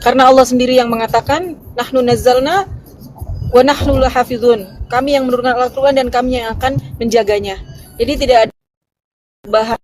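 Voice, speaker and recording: A woman's voice: fairly high pitch, brisk, a little mellow, soft background music, poor audio. A woman hosting a live stream, explaining at length in a casual way with restarts and fillers, talking to viewers from the road. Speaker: host of a live religious debate stream.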